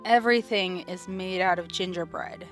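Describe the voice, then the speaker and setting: A woman voicing a deadpan, unimpressed young woman in a comic dub, matter-of-fact. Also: fairly low pitch, normal speed, soft background music, natural voice.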